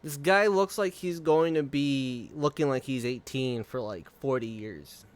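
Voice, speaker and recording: A male streamer rambles at an unhurried pace, his voice clear and smooth. He swears freely and laughs often. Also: medium pitch. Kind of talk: casual live-stream chat.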